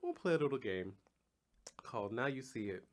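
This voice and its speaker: A man's voice: medium pitch, very animated, moderate pace. A man with an American accent hosting a podcast, posing game questions in playful casual chat with teasing, campy slang. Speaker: podcast host.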